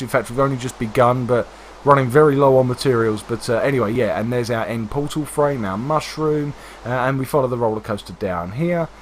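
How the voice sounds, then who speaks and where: A man hosting a game livestream, hoarse and congested from a cold, chatting casually with many fillers. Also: medium pitch, slight background noise. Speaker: livestream host. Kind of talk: casual gameplay commentary.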